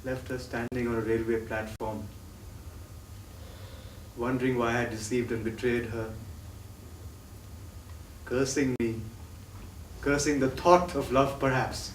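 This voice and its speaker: An actor playing a tormented, guilt-ridden lover in a theatrical stage drama, with a declamatory delivery that moves between impassioned and brooding. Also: male voice, medium pitch, fairly even intonation, a little slow, long pauses, lightly acted. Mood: brooding, remorseful. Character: tormented, guilt-ridden male lover, doubting his own memory. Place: theatre stage, distant microphone. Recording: echoey theatre recording from far off, faint noise.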